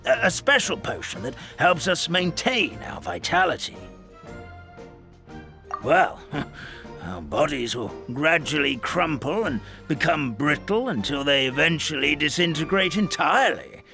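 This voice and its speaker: An actor playing an old, forgetful paper creature who acts as a gentle, fretful elder, rambling in a dramatic grandfatherly way. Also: fairly high pitch, very animated, unhurried, vocal fry, soft background music, exaggerated voice. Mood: worried storytelling. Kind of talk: video game dub acting.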